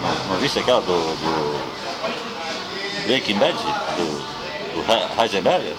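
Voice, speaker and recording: A man's voice: low voice, very animated, takes it slowly, rough recording. A man with a southern Brazilian accent chatting casually about politics, often checking that he is understood. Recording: very loud music, outdoor vehicle noise.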